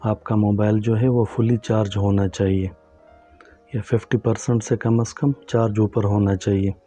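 Low, flat-voiced man walking through steps. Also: moderate pace, faint music behind. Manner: calm, instructive, addresses viewers as friends. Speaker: tech tutorial host. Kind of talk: step-by-step phone tutorial narration.

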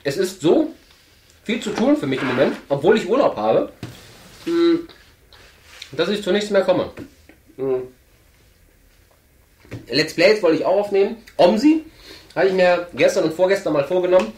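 A man's voice: high voice, lively intonation, moderate pace, pauses often, darkish tone. A young man with a stuffy nose, chatting casually on camera from a table indoors as he opens an advent calendar, rambling with lots of hesitant fillers. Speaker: channel host. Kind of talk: casual chat while opening an advent calendar. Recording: roomy, echoey room sound.

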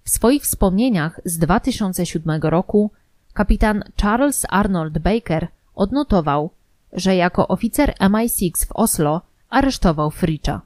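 A female narrator with a fairly low, mellow voice reads a documentary script. Her delivery is formal and measured, and the sound is close and dry, free of room echo. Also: expressive.

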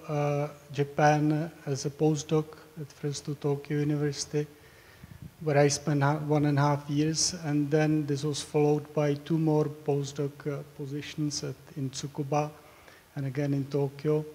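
A man in his fifties presents his research as a professor, pausing often on fillers and repeating words as he goes. His voice sits fairly high but stays flat in melody, with a mellow, dark tone. The sound rings with the long reverb of a large hall. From Czech Republic. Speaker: professor giving a research talk. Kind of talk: academic presentation.

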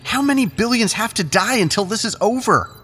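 An actor playing an anguished, defiant brother and reluctant accomplice in an audio drama, pleading and emphatic. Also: male voice, sits high, expressive, fairly quick, bright, forceful tone, a little hiss or hum. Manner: pleading, emphatic, stammering when upset. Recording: long cavernous reverb.

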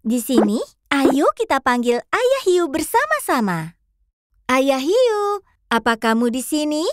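A woman narrates a children's cartoon in a high, very lively voice. She speaks slowly in a sing-song way, as if guiding small children through a game. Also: no echo. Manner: sing-song, addressing young viewers. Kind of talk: scripted narration for young children.

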